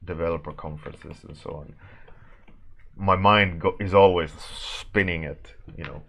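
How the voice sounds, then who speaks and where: A man's voice: sits low, fairly even intonation, measured pace, creaky, pushed and bright. A man from Sweden with a Swedish accent, chatting casually on a stream while he draws, rambling with restarts and frequent laughs as he answers chat. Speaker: artist streaming a warmup session.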